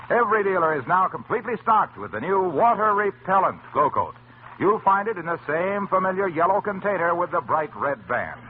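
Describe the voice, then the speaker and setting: A man announces in polished 1940s radio style. His highish voice swoops with emphatic salesmanship. The old recording is narrow and thin. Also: a little hiss or hum. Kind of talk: 1940s radio announcing, scripted sponsor pitch.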